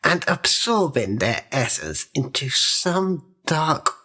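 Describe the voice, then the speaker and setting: An actor playing a grave spymaster in a fantasy audio drama, speaking formally and deliberately in a husky voice. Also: male voice, mid-range voice, big pitch swings, bright, forceful tone, full character voice. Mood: grave, foreboding. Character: measured, ominous male spymaster reporting to his chief.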